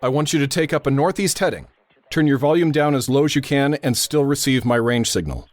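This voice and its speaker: An actor playing a steady, grave radio operator who gives precise, authoritative instructions and narrates in the style of old-time radio drama. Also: male voice, medium pitch, fairly quick, dry recording, close to an everyday voice. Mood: tense, brooding.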